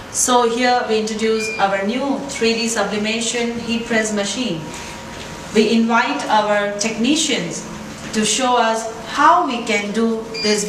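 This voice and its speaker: A woman in her thirties giving a deliberate, instructional scripted product demonstration in a showroom, picked up by a distant camera mic. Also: on the high side. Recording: echoey, distant camera mic, noisy room.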